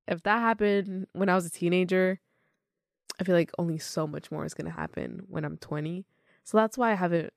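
A twenty-year-old woman with a lowish, fry-heavy voice co-hosts a casual podcast. She is giggly and upbeat. Her voice sounds dry and close to the mic. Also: a little mellow, no room sound. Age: 20s. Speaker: podcast co-host.

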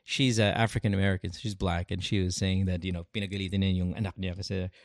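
An animated podcast host chatting casually and swearing freely. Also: sits low, fast talker, dry recording, clean studio sound. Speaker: talk show host.

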